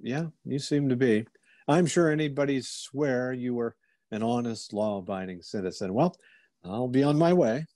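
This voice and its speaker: A man's voice: medium pitch, normal speed, dark tone, dry recording, clean studio sound, lightly acted. A man playing a courteous, inquisitive police officer who muses and probes politely, in old-time radio theater style. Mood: probing. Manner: polite, musing, probing.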